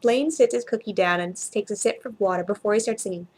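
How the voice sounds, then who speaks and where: A woman's voice: mid-range voice, quick pace, slightly rough. A woman reading fiction aloud as an amateur narrator, expressively, with the occasional stumble over a word. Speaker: amateur story reader.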